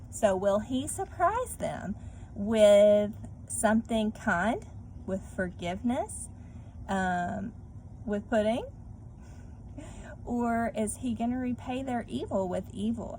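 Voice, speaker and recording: A woman reading and discussing a children's story like a teacher, expressively and speaking directly to young listeners, with clear background noise. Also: fairly high pitch, animated, takes it slowly, darkish tone, rough recording. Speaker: read-aloud teacher. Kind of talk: children's story read-aloud with questions to listeners.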